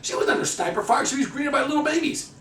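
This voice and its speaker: A man's voice: very high voice, expressive, fast, a bit bright, roomy sound, recorded from a distance, a little hiss or hum. A man delivering a heated, sarcastic political rant as a monologue. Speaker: political commentator. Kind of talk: ranting monologue.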